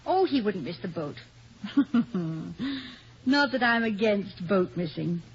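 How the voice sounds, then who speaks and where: An actress playing a charming, playfully wry middle-aged wife in 1950 radio comedy, soothing, gracious and coaxing. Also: female voice, mid-range voice, lively intonation, slow, husky, heavily acted. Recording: muffled, noisy old radio broadcast recording.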